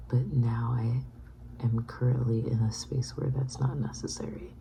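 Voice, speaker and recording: A woman in her thirties whispering a personal vlog, halting and reflective. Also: extremely low pitch, level tone, gravelly, background noise. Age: 30s. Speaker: vlogger.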